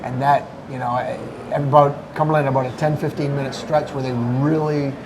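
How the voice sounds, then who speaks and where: A man's voice: medium pitch, level tone, normal speed, background noise. A man speaking as a college soccer coach, answering casually in a live sports interview. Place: busy restaurant eatery. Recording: crowd chatter behind the voice. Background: crowd chatter.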